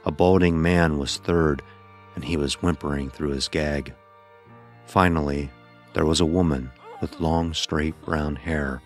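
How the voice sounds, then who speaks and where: A man narrating a dramatic first-person horror story in a grave, measured manner. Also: a bass voice, steady tone, faint music behind.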